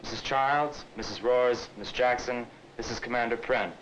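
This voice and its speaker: A man's voice: mid-range voice, steady tone, normal speed, fairly bright tone, lightly acted. A man playing a well-mannered, courteous young midshipman in a 1960s training film. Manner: courteous, proper. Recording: dull, faintly noisy 1960s film soundtrack.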